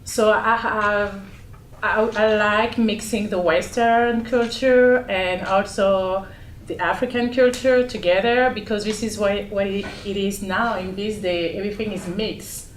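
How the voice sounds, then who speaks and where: A woman's voice: medium pitch, fairly even intonation, measured pace. A woman artist raised in Paris, with a French accent, speaking carefully in simple phrases on a panel. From born in Congo, raised in Paris. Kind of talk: panel discussion. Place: gallery room. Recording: echoey room with background noise.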